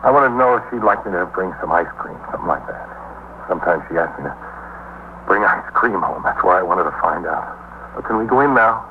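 A man's voice: sits low, animated, quick pace. A man playing an anxious, evasive husband in a 1950s radio drama, hesitant and repeating himself. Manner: hesitant, repetitive, pleading. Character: anxious, evasive husband fretting over his wife. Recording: tinny 1950s radio recording, faint noise.

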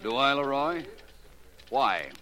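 An actor playing a suave, politely probing private detective in a 1940s radio drama. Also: male voice, mid-range voice, big pitch swings, takes it slowly, over loud music. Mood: coolly probing. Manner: polite, formal, pointedly questioning. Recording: noisy, clicking 1940s radio recording.

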